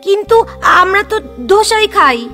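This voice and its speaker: Shrill girlish daughter voiced by a woman. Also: lively intonation, a little slow, sharp tone, soft background music, heavily acted. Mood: plaintive. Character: fussy, affectionate college-age daughter.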